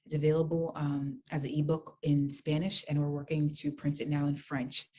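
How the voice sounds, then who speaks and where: A young woman talks on a video call in a flat, level voice with little rise and fall. She is earnest and reflective, often pausing on an um and letting phrases soften at the ends. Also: sits low. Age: young adult. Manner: earnest, reflective, with many ums and soft trailing phrases. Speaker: guest, author and basketball nonprofit founder. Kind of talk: virtual interview conversation.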